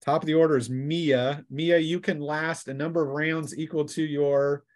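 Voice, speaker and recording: A man's voice: on the high side, fairly even intonation, moderate pace, no room sound. A man acting as game master, narrating dice combat in an informal, conversational way. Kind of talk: running a tabletop role-playing game.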